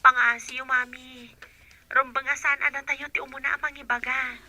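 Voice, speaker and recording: A woman's voice: sits high, level tone, moderate pace, sharp tone. A woman playing a helpful, coaxing young village daughter in a radio drama. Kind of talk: Ilocano radio drama acting.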